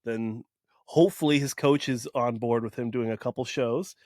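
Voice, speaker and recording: A man's voice: mid-range voice, steady tone, normal speed, a little mellow, dry recording, clean studio sound. A man from Wisconsin hosting a podcast in casual chat, joking and self-deprecating. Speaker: podcast host.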